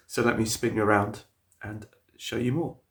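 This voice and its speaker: A man's voice: fairly low pitch, fairly even intonation, normal speed. A man with a cold, sounding sniffly, casually walking viewers around his model railway layout with lots of fillers and restarts. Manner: rambling, many fillers and restarts. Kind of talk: casual walkthrough video. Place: indoor hobby room with the layout.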